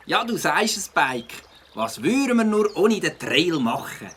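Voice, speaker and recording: An easygoing boy in a children's audio story, voiced by a man. The voice is high and lively, casual in tone, over clearly noisy ambience. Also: measured pace. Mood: content. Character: easygoing, loyal young boy cyclist.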